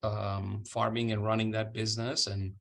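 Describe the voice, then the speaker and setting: Low-voiced middle-aged man, relaxed. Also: normal speed, gravelly. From Honduras. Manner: relaxed, with frequent restarts and fillers. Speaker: guest, citrus company president. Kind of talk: casual interview talk.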